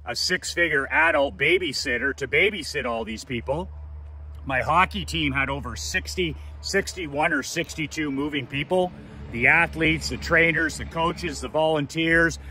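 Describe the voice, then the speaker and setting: A man's voice: fairly high pitch, pushed and bright, breathy edge. A man in his fifties giving a blunt, profane motivational talk as a business coach, hammering his points with repeated lists. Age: 50s. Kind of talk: motivational monologue.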